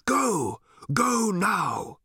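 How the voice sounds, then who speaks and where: Give the old mystic , played by a man, a raised, booming shout with drawn-out words. He is urgent and commanding, an aged man in an audiobook tale, pitched well up and recorded close and dry. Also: big pitch swings, breathy edge, dry recording, exaggerated voice.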